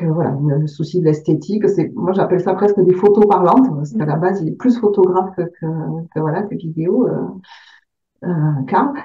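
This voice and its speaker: A woman author from southern France answering interview questions, hesitant, with many fillers and repeated words. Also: fairly low pitch. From Aveyron, southern France. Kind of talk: interview answers about her book. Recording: distant, roomy, dull sound.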